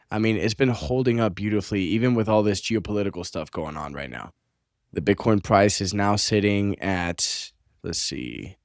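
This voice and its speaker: A young man with a lowish voice and a slightly stuffy nose gives casual market commentary. He talks loosely, with plenty of fillers and restarts. The recording is dry and close, with no room sound. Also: no room sound. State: stuffy, a bit under the weather. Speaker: finance channel host. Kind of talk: casual commentary on screen charts.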